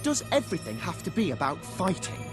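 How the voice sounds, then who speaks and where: An actress playing a gentle, cheerful fantasy game player with a dry, teasing manner in a video game. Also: female voice, fairly low pitch, sing-song, fairly quick. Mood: mildly teasing. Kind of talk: video game cutscene acting. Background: music.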